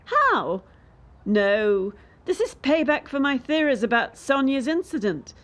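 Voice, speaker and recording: A woman's voice: high voice, big pitch swings, measured pace, a bit bright, heavily acted. A woman acting in a radio play as a bitter, defiant young elite gymnast under pressure. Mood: bitter, wary.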